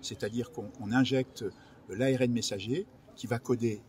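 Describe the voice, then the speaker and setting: A man's voice: mid-range voice, fairly even intonation, moderate pace. A man giving technical explanations as an interview guest, hesitating and repeating himself as he goes. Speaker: research director in biology, interview guest. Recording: loud ambient background noise.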